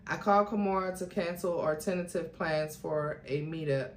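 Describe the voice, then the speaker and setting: A woman's voice: fairly low pitch, moderate pace. A woman reading a story aloud in a dramatic, theatrical way, as a host would. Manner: theatrical, acts out quoted dialogue. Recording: roomy echo with faint noise.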